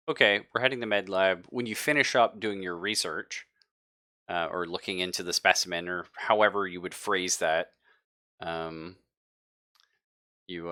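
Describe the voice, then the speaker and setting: Man, game master, deep voice, forward bright tone, dry close sound, casual explanatory narration. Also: no echo. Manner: informal, explanatory. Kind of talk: improvised narration of a tabletop game.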